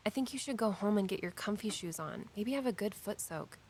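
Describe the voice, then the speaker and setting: A caring, dreamy female tour guide in an audio drama. She talks in an earnest, conversational way at a medium pitch, with noticeable vocal fry. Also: lively intonation, fairly quick, a little mellow. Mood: concerned. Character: earnest, mystically minded daughter and walking-tour guide.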